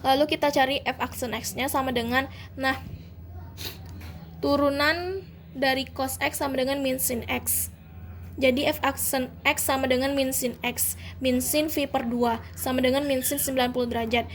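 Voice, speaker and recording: A young woman, a university student, working through calculus problems step by step, pausing to correct herself. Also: sits high, steady tone, a little hiss or hum. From Indonesia. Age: young adult. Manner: methodical, step-by-step, self-correcting. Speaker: university student explaining homework. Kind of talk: calculus walkthrough.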